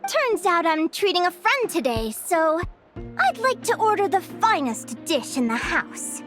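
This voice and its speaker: A woman's voice: extremely high pitch, big pitch swings, a little slow, full character voice. An actress voicing an earnest, cheerful young winged girl who is not human and talks eagerly. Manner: cheerful, eager, a bit flustered at times. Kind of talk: video game voice acting. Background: game music.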